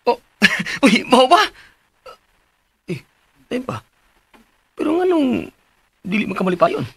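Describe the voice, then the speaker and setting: An actor with a Cebuano Filipino accent playing a hesitant, easily startled husband in a radio drama, husky-voiced. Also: male voice, extremely high pitch, very animated, takes it slowly, a bit bright, dry recording, full character voice.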